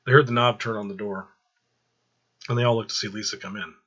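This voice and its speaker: A man narrating fiction aloud in an expressive storytelling style. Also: on the low side, fairly quick, husky, lo-fi sound.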